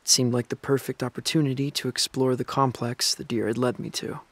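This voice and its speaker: Actor as even-toned young male survivor narrating. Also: medium pitch, brisk, close to an everyday voice. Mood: reflective. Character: young male apocalypse survivor recounting his journey, reflective and wry. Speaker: narrator and lead of an audio drama. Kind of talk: scripted first-person narration.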